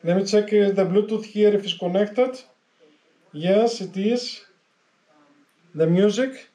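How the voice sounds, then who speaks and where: A man giving a casual hands-on tutorial as a vlogger, explaining step by step in a halting, repetitive way. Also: high-pitched, warm tone.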